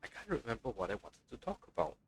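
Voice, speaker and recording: A man's voice: medium pitch, huge pitch swings, fast, gravelly, husky. A man streaming a video game live, giving casual commentary as he plays. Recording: game music behind the voice.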